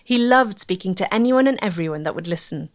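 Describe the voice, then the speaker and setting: A woman giving measured, scripted narration for a history documentary. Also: mid-range voice, expressive. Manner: measured, articulate.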